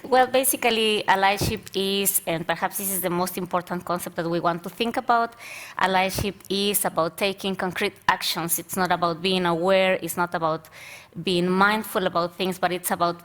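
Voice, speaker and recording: A woman's voice: on the low side, fairly even intonation, moderate pace, fairly bright tone. A woman in her thirties from Mexico, speaking articulately as a panelist and diversity consultant, with easy laughs. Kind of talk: panel discussion.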